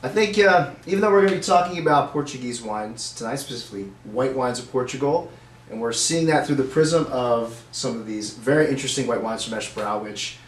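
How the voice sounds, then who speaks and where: A man's voice: medium pitch, brisk. A middle-aged man hosting a live webcast, chatty and upbeat with frequent fillers. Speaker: host. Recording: roomy, faint noise.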